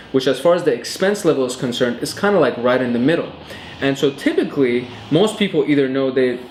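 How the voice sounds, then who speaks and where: A man in his late twenties hosts a show, talking to camera in a relaxed, chatty way with plenty of fillers. His voice sits at an ordinary middle pitch. The room rings with noticeable echo, and a faint ambient hum stays underneath. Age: 20s. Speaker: host. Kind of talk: casual educational vlog talk.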